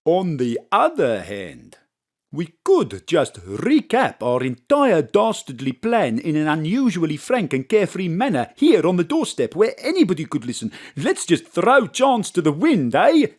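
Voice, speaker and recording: A man's voice: a little high, huge pitch swings, unhurried, darkish tone, heavily acted. A man playing an eccentric scheming millionaire villain with an Afrikaans accent in a comic audio drama, delivered hammy and theatrical. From Birmingham. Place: home studio.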